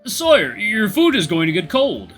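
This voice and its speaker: A man's voice: sits high, huge pitch swings, measured pace, a bit bright, faint music behind, voice pushed up, exaggerated voice. A man voicing a deferential, formal and fussy royal servant in a storybook tale. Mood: attentive. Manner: formal, courteous. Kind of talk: storybook character voice.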